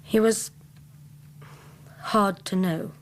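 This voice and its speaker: An actress with an English accent playing a devoted, self-possessed young gentlewoman in a radio drama, earnest, polite and guarded. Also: medium pitch, fairly even intonation, drawn-out words, a little hiss or hum. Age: young adult.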